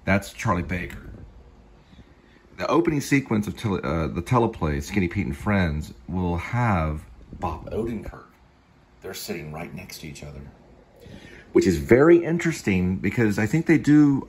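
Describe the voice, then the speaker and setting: A man in his fifties talking casually in a rambling, halting way, often digressing and restarting phrases as a show host. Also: sits low, a little mellow, slight background noise. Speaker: show host, actor and teleplay writer. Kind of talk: rambling casual vlog talk.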